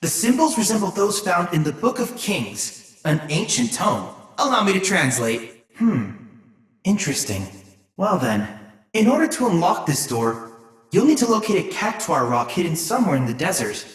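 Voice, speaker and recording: An earnest young android boy who works as a researcher in a video game. He speaks in precise, formal, analytical sentences with polite eagerness. His mid-pitched voice moves up and down in an animated way, and it is recorded very dry. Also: moderate pace, slightly rough, dry recording. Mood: concerned, analytical. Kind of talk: video game character voice lines.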